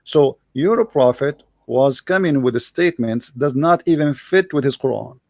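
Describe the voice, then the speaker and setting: A man's voice: medium pitch, expressive, normal speed, no room sound. Arabic-accented guy debating hard, dry close sound, mid voice. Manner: forceful, argumentative, quotes sources. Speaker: debate host. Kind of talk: religious debate.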